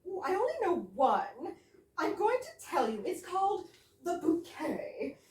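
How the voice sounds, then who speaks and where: A woman's voice: very high-pitched, sing-song, unhurried, a little mellow, exaggerated voice. A woman in amateur readers' theater playing a gushing, genteel English wife in an absurdist comedy. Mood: coy storytelling. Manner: gushing, exaggerated politeness. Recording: echoey room, distant mic, faint noise.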